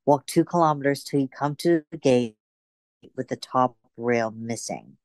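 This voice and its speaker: A woman playing a bold, secretive young rebel lover in a radio play, brisk and teasing. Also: a bass voice, darkish tone, no echo. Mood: urgent, secretive.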